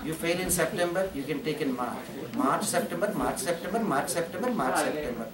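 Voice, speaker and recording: A man's voice: fairly high pitch, fairly quick, crowd noise. A man from India, giving a sermon as a spiritual teacher, repeating phrases and chanting Sanskrit verses. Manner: repetitive, animated preaching with chanting. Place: ashram hall. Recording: roomy hall, distant mic, audience.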